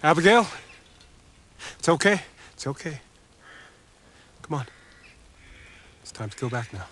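An actor playing a dutiful, uneasy cult follower in a zombie apocalypse video game, with an earnest manner. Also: male voice, a little high, sing-song, a little slow, a bit bright, exaggerated voice. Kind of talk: video game cutscene acting.

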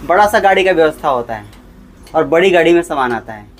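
A man's voice: a little high, expressive, fast talker, slight background noise. A man hosting a casual unboxing vlog, chatting with viewers, calling them brother and repeating his points for emphasis. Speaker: vlogger.

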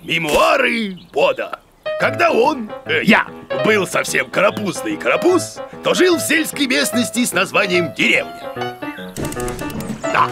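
A goofy, boastful cartoon creature, a bearded mama's boy. His high voice swoops wildly and creaks as he blusters and stammers. Music plays behind him. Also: wildly swooping pitch, unhurried, heavily acted. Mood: boastful, indignant. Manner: blustering, muttering and stammering, exclamatory.

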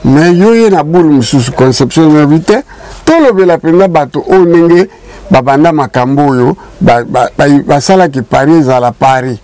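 A man's voice: on the high side, lively intonation, normal speed, mellow, poor audio. Older man, from Congo Kinshasa, emphatic storyteller, casual studio chat, lapel mic. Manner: emphatic, mixes French and Lingala. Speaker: show guest and narrator of community history. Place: small indoor studio, shop-like room.

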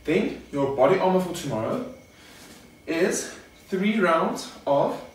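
A man's voice: sits high, big pitch swings, slow pace. A young man coaching a workout demonstration in a casual, instructional manner. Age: young adult. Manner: casual, instructional, addressing viewers as guys. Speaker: fitness coach. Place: garage home gym. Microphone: camera a few metres away. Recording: echoey garage, distant mic, faint noise.

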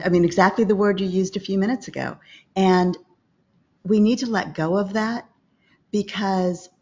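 Calm older woman coaching over a video call. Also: fairly low pitch. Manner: calm, measured and instructive. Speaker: podcast guest, business coach. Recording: slightly dull video-call audio.

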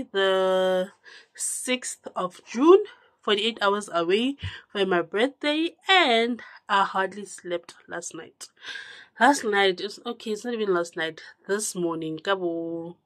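A congested young woman chatting to camera in a small room, her voice rising and then dropping to a low murmur. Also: a bit bright. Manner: chatty, with drawn-out words and sudden drops to a low murmur. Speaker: vlogger. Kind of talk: casual direct-to-camera chat.

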